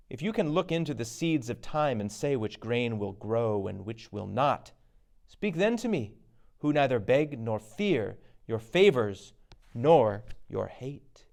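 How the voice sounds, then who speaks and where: A man's voice: medium pitch, gravelly, a little mellow, heavily acted. Man playing a bold, questioning noble warrior. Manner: questioning, declamatory. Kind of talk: dramatic Shakespeare reading.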